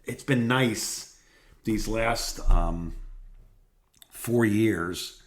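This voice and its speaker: A man's voice: lowish voice, very slow. Middle-aged man, rambling casual talker. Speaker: podcast co-host, former radio host. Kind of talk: casual chat, reminiscing.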